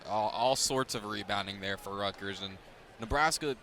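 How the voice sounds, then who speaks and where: A man's voice: lowish voice, normal speed. A man giving conversational color analysis on a live basketball broadcast, explaining loosely and repeating words as he goes. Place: courtside broadcast in a basketball arena. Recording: loud arena noise behind. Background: basketball game ambience, ball bounces.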